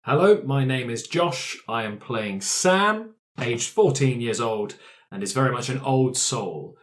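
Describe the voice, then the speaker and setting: A man's voice: mid-range voice, lively intonation, a little slow, a little hiss or hum. A man playing a tabletop roleplaying game, talking casually as he introduces himself to the group.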